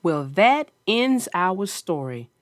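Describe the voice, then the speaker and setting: A woman's voice: fairly low pitch, very animated, takes it slowly, dry recording, clean studio sound. A woman hosting a children's read-aloud, narrating a picture book and chatting about it in simple, explanatory terms. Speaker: children's story narrator and host.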